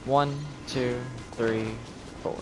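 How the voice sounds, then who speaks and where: A man's voice: medium pitch, steady tone, drawn-out words. A man giving casual live commentary on a video game, thinking aloud as he plays. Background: video game music.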